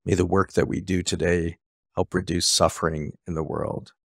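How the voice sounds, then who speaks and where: A middle-aged man hosting in a conversational coaching style, emphatic and deliberate, on a headset mic. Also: sits low, little pitch movement, creaky, dry recording, studio quality. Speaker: host. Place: office, video call.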